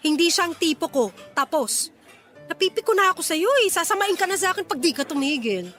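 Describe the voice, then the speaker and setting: Casting: huffy, picky Filipino working single mum for radio drama; high-pitched, swooping delivery. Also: female voice, lively intonation, brisk, a bit bright, soft background music. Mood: irritated. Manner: exasperated, blunt, huffy retorts. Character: irritated, picky young single mother, a restaurant worker.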